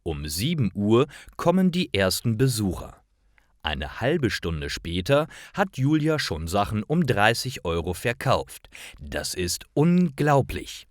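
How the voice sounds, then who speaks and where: A man reading simple stories for language learners as a scripted narration, in careful, clearly separated short phrases. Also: fairly low pitch, very animated, slow, no room sound, studio quality.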